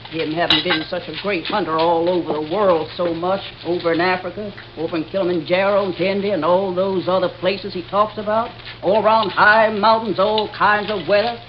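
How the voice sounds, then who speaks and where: An actress plays a kindly old woman of the backwoods in a vintage radio drama. She is folksy, fussing and motherly, quick to give orders. The old recording is dull and clearly noisy. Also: on the low side, steady tone, close to an everyday voice. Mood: folksy concern. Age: older. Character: kindly, sprightly old backwoods lodge wife, bossy. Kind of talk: 1940s-50s radio drama acting.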